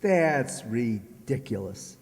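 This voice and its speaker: A man's voice: medium pitch, sing-song, slow. A man playing a jealous, blustering husband in an old-time radio sitcom, with a theatrical delivery. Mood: defensive. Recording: echoey hall.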